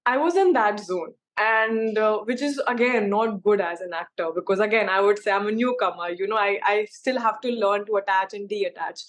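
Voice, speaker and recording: A woman's voice: mid-range voice, normal speed, few pauses. A young woman with an Indian accent, an actress speaking casually and emphatically in an interview, often using "like" and "you know". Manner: informal, emphatic, peppered with 'like' and 'you know'.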